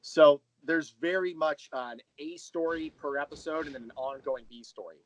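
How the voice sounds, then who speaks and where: A man's voice: on the high side, normal speed, slight background noise, low-quality recording. A man speaking as an interview guest, explaining things in detail in a conversational way. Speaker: interview guest, audio drama creator.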